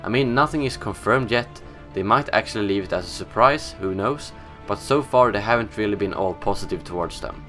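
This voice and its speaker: Young Swedish man, gaming news host: a fairly low voice, casual and opinionated, with faint music behind him. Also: brisk, hardly any pauses, a bit bright. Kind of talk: scripted news commentary.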